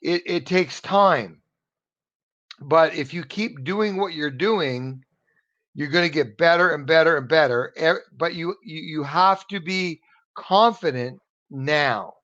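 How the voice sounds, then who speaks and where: A man's voice: a little high, takes it slowly, a bit bright. Earnest American man on a muffled video call. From United States. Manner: earnest, encouraging, repeats words while searching for them. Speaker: English tutor. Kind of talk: motivational one-on-one online lesson.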